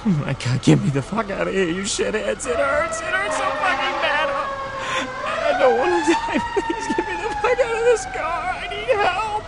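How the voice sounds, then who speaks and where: A man's voice: very high-pitched, huge pitch swings, normal speed, creaky, heavy background noise, voice pushed up, full character voice. A man voicing a mortally wounded man who screams and begs for help in agony, in a horror motion comic. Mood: agonized pleading. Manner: screaming, pleading, anguished.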